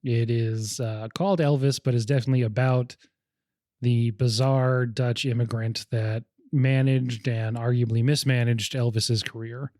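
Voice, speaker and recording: A man's voice: mid-range voice, fairly even intonation, a little slow, darkish tone, no room sound, studio quality. Chatty young man hosting a movie podcast. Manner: chatty, laughs often, enthusiastic about film history. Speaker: podcast host. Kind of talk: casual movie podcast chat.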